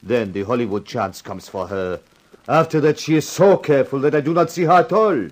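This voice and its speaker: A man's voice: medium pitch, lively intonation, a little fast, lightly acted. An actor playing a suave but nervous Frenchman in a radio crime drama, speaking with a French accent in careful, hesitant phrases. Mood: wistful, measured. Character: suave, nervous French lover, pleading his innocence.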